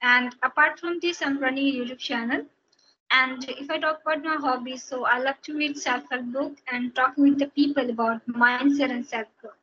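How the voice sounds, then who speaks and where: High bright Indian woman, video call. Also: normal speed, slightly rough. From Bihar, India. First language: Hindi. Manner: steady, explanatory, repeats phrases while thinking. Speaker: guest, English teacher. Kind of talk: casual interview on a video call. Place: small room indoors. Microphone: headphones on a video call. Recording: dull lo-fi video call audio with faint noise.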